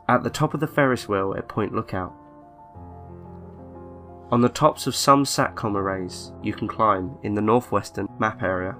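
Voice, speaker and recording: A man narrates a scripted horror story in a lowish voice. His reading is measured and deliberate, broken by pauses. Soft music plays underneath. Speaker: narrator of a creepypasta video. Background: background music.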